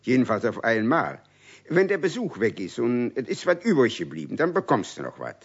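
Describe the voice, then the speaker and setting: A kindly older craftsman in a children's radio play, indulgent yet firm. His voice is husky and swoops expressively as he admonishes. The recording is dull and dated. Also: mid-range voice, huge pitch swings, normal speed, heavily acted. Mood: patient, firm.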